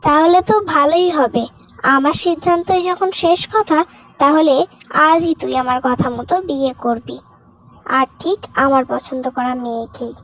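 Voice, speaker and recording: A woman's voice: high-pitched, moderate pace, fairly bright tone, slight background noise, low-quality recording. A woman voicing a stern, domineering village mother-in-law who scolds and commands in a cartoon drama. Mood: smug commanding. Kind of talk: Bengali cartoon drama voice acting.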